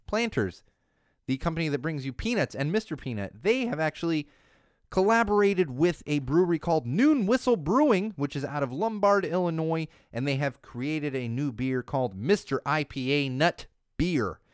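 A man hosting a casual news podcast in a studio, rambling and correcting himself as he goes, his voice a bit hoarse from losing it. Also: a little high, animated, normal speed, no echo. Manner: chatty, rambling, self-correcting. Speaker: podcast host.

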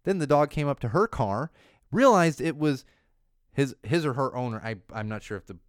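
A man hosting a casual news podcast in a studio, rambling and correcting himself as he goes, his voice a bit hoarse from losing it. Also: medium pitch, expressive, normal speed, no room sound. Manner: chatty, rambling, self-correcting. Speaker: podcast host.

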